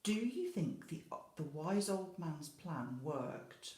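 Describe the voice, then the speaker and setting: Slow, expressive woman teacher, lively pitch. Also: roomy sound. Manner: expressive, simple explaining to young children. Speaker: infant school teacher. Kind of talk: children's story reading and questions.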